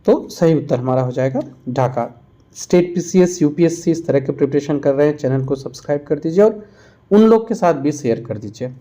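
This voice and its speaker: A man's voice: a little high, expressive, brisk, mellow. A man narrating a quiz-style lesson as a teacher, explaining and repeating answers for emphasis. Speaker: exam-prep history teacher.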